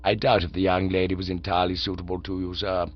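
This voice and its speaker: A man reading aloud and voicing a formal, unflappable middle-aged valet, low and measured. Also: little pitch movement, dull audio, lightly acted. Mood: grave, deferential. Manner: deferential, slow, ends on a deep "sir".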